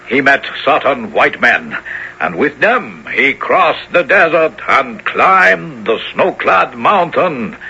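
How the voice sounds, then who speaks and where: A man acting a proud, solemn African warrior and exiled king in a radio drama, with measured, declamatory, formal speech. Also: mid-range voice, sharp tone, exaggerated voice. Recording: dull, noisy old radio broadcast.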